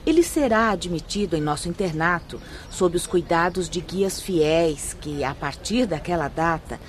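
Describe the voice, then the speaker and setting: An actress playing a wise, gentle spirit teacher in a Brazilian radio drama, speaking formally and with measured, didactic care. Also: female voice, fairly low pitch, animated, slight background noise, lightly acted. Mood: solemn, instructive. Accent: Brazilian Portuguese. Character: wise, gentle woman spirit instructor explaining afterlife procedures.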